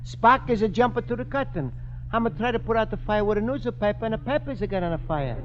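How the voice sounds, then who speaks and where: A muffled, noisy vintage radio comedy recording. an innocent Italian immigrant shopkeeper with a very high-pitched voice and a thick Italian accent, speaking earnest broken English. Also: male voice, lively intonation, quick pace. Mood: sheepish. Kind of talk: 1950s radio sitcom acting.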